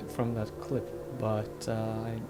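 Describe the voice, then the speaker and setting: A man's voice: medium pitch, completely flat delivery, slow pace. A man giving casual, hesitant commentary over a video game. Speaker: let's play commentator. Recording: loud game music behind.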